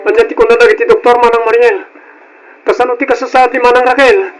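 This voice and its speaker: Man acting an anxious, high-pitched younger brother. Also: steady tone, quick pace, music in the background, low-quality recording. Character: worried young student, devoted younger brother, earnest. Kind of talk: Ilocano radio drama acting.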